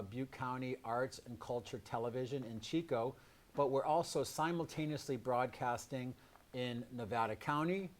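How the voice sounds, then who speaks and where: Halting male live show host. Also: medium pitch. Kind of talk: hosting a live community broadcast.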